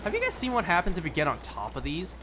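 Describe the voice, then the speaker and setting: A man's voice: high voice, wildly swooping pitch, quick pace, noisy background. A young man chatting casually and rambling through gameplay commentary, with wry little asides. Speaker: streamer.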